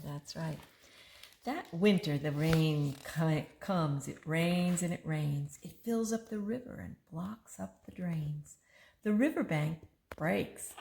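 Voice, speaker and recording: A woman reading a rhyming picture book aloud to children, expressively, pausing for a child to join in. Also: on the low side, vocal fry, slight background noise. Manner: expressive, prompting a child to fill in rhymes. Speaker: story reader and co-host.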